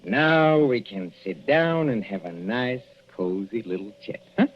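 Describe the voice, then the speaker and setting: An actor playing a gloating, murderous older professor in 1940s radio suspense style, mock-courteous and savoring every hesitation. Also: male voice, lowish voice, lively intonation, a little slow, a little hiss or hum. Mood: smugly menacing. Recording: muffled, dull old radio recording.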